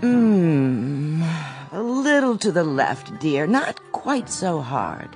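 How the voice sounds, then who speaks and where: A woman's voice: deep voice, sing-song, very slow, a little mellow, full character voice. A woman playing a genteel lady of the house. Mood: complacent. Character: middle-aged lady of the house, anxious then complacent. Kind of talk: radio drama acting. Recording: with music underneath.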